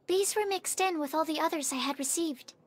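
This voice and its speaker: Woman voicing a very high-pitched, sweet young girl. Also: a little fast, natural voice. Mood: cheerful, earnest. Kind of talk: animated game dialogue.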